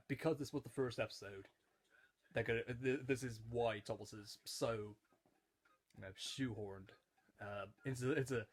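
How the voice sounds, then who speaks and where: Young man rambling, slightly husky voice. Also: mid-range voice. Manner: rambling, stop-start, repeats phrases. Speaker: YouTube reviewer. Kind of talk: casual rewatch commentary on a children's show.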